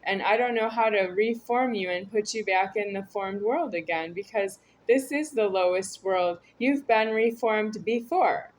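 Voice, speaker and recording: A woman's voice: mid-range voice, unhurried, hardly any pauses, slight background noise, low-quality recording. A woman talking to camera in a meandering, repetitive, earnest monologue. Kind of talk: rambling spoken monologue to camera.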